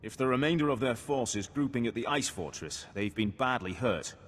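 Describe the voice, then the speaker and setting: An actor playing a grave, wry Imperial captain in a video game, speaking formally and in measured phrases. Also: male voice, mid-range voice, expressive, big-room sound, slight background noise, close to an everyday voice. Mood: grim, resolute.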